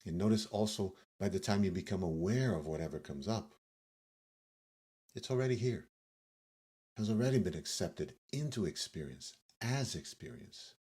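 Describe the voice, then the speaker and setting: Male meditation guide, low and a little breathy-rough, delivering yoga nidra instructions in measured, pause-filled phrases. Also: expressive, dark tone.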